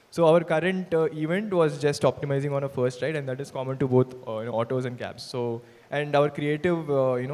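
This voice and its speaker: A man working as a performance marketer, speaking on stage at an event with many fillers and pauses. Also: mid-range voice, darkish tone. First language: not Hindi. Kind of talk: stage talk. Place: large event hall. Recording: reverberant hall PA sound.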